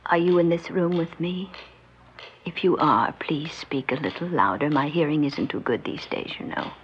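A woman plays a frail mother in her seventies, wistful and accepting, in a vintage radio drama. Her voice sits fairly low and murmurs slowly. The recording is dull, with a faint layer of noise. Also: natural voice. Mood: wistful, gentle. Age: 70s. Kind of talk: 1960s radio drama acting.

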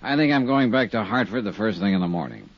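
A husky American private investigator, world-weary and hardboiled, with a pitch that swings dramatically through clipped lines. It is a muffled vintage fifties radio drama recording. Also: male voice, sing-song, quick pace, no room sound, exaggerated voice. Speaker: radio drama lead and narrator. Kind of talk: 1950s radio drama acting.

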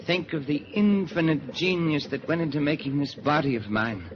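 An arrogant wartime Nazi spy, played by a male radio actor, who bargains for himself in a halting, theatrical way. The sound is a dull, noisy 1940s broadcast recording. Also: on the high side, natural voice. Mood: wary, persuasive. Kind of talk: 1940s radio drama acting.

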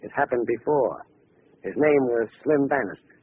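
An actor playing a cocky, vengeful gangster with an American accent and slangy, taunting tough talk in a 1940s radio drama. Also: male voice, medium pitch, lively intonation, normal speed, slightly rough. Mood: gloating, menacing. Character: cocky, vengeful male gangster back from supposed execution. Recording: noisy, tinny old radio broadcast recording.

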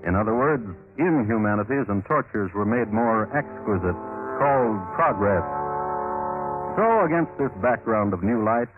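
A man hosting and narrating a scripted 1950s radio crime show in a measured, wry, literary manner. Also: on the low side. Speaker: host and narrator. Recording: muffled old radio recording with music. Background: music.

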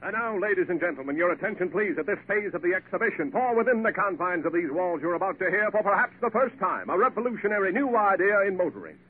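Grandiose American pitchman, high rapid pompous delivery, 1940s radio ad, tinny with faint noise. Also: animated. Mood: grand. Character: grandiose, pompous salesman orator. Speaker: commercial pitchman. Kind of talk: 1940s radio advertising oratory.

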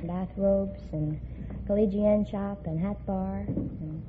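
A woman's voice: mid-range voice, steady tone, slow. A woman with an American accent answering chattily as a contestant on a radio quiz. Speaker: quiz contestant, elevator operator. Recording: tinny, noisy vintage radio with audience.

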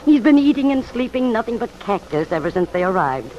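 An actress playing a kindly, proud older mother in an old-time radio serial, speaking gently and unhurriedly. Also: on the high side, sing-song, fairly quick, lo-fi sound. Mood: warm, fond. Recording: noisy vintage radio broadcast.

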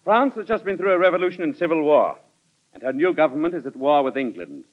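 Man as fast, combative radio-drama politician. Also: fairly high pitch, lively intonation, natural voice. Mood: insistent. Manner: brisk, argumentative, clipped. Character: combative, stubborn male Treasury Secretary, sharp debater. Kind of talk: old-time radio drama acting. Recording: dull vintage radio recording.

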